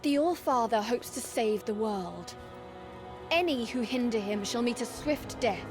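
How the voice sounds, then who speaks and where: Warrior maiden, fairly high voice, stern, formal, dutiful, game cutscene with clear music behind. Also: female voice, animated, unhurried. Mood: stern, defiant. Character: solemn, dutiful warrior maiden serving a god. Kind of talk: dramatic video game cutscene acting.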